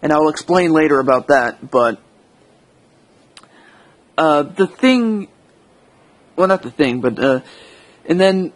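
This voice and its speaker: Slow, hesitant American man rambling. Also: a little high, animated. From United States. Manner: hesitant, rambling, self-correcting. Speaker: audio log host. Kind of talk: casual unscripted monologue.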